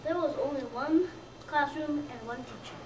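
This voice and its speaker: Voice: high-pitched, normal speed. A young child of about seven or eight, a second grader, reading a scripted narration aloud for readers theater. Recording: echoey room, distant mic, noisy background.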